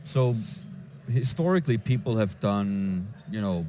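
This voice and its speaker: A man from Denmark, a database developer answering interview questions in a conference lounge, with frequent halting restarts. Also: on the low side, lively intonation, dark tone. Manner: hesitant, with many restarts and fillers.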